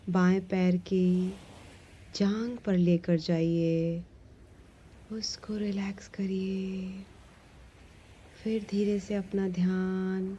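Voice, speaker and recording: A woman leads a guided relaxation meditation, giving gentle, soothing instructions with long pauses between phrases. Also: lowish voice, dull audio. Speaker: yoga nidra meditation instructor.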